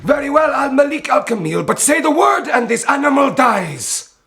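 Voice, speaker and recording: A man's voice: extremely high pitch, sing-song, moderate pace, over music, full character voice. An actor playing a sultan's fierce, suspicious henchman in a historical radio drama, indignant and vehement. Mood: outraged.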